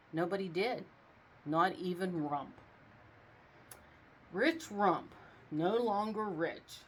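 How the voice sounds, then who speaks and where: A woman reading a storybook aloud in an expressive storytelling style, with casual asides. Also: on the low side, a little hiss or hum. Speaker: storybook reader.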